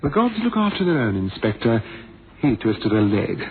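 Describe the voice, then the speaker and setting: A man's voice: mid-range voice, very animated, moderate pace, close to an everyday voice. Man acting a sardonic blind ex-pilot, tinny radio recording. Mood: ironic. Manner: wry, arch, wordy and ironic. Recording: noisy, thin phone-like old radio recording.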